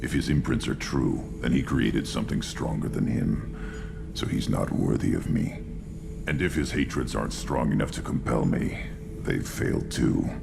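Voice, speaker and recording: An actor voicing a young, hulking alien warrior in a video game, with a gravelly growl and blunt, halting, menacing speech. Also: male voice, very deep voice, animated, background noise, full character voice. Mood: cold defiance. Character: young tank-bred male alien brute warrior, hulking, blunt, proud. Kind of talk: video game character acting. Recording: music behind.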